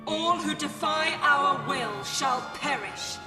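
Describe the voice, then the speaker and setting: An actress playing a cold, imperious, pitiless supernatural ruler in a dramatic fantasy game. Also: female voice, sits high, takes it slowly. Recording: echoey hall reverb, distant, music behind.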